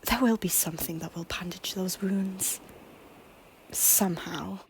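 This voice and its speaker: A woman playing a soft-spoken stranger at a harbour who often half-whispers her lines in an amateur audio drama. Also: lowish voice, a little slow, a little hiss or hum, poor audio. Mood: hushed.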